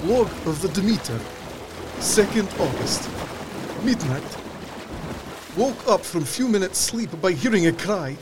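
Slow, weary sea captain. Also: male voice, high-pitched, lively intonation, loud music behind the voice. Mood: despairing. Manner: halting, grave, clipped log phrasing. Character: weary, fearful male sea captain keeping a ship's log. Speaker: actor in an audio drama. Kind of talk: dramatic first-person log narration.

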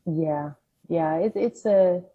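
A woman's voice: on the low side, slow pace, mellow. A middle-aged woman from Denmark, a singer and podcast guest, chatting casually and laughing often between phrases. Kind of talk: casual phone interview. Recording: dull lo-fi phone line with faint hiss.

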